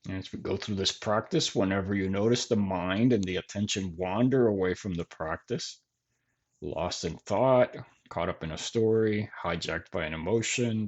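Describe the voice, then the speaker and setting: A man leading a guided body scan meditation in gentle, measured phrases with long pauses between them. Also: fairly low pitch, highs cut off. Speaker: meditation teacher.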